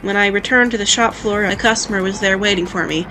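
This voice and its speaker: A woman's voice: mid-range voice, steady tone, fast, bright, forceful tone, close to an everyday voice, rough recording. A woman playing a frank, wary young female rebel who tells her own story as dramatic first-person narration. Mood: frank, reflective. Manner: confessional, storybook-style telling. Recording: music behind the voice. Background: music.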